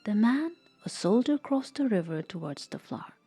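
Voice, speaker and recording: A woman storyteller reading a scripted folktale in a soft, measured, expressive read-aloud way. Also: medium pitch, breathy edge, faint music behind.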